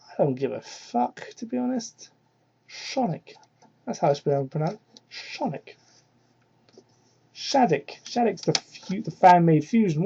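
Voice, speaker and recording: A medium-pitched voice reads fan fiction aloud in a mocking, theatrical way. The reader keeps dropping into incredulous, exasperated asides and swears freely. The sound is that of a cheap hobby microphone. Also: gravelly, mellow, highs cut off, slight background noise. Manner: exasperated, incredulous, foul-mouthed. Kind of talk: mocking dramatic reading with ad-libbed asides. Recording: poor-quality hobby microphone.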